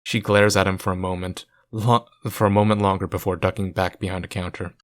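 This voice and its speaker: Low-voiced man reading a game story. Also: little pitch movement, fairly quick. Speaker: video creator reading a visual novel aloud. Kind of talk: narrating a visual novel with casual asides.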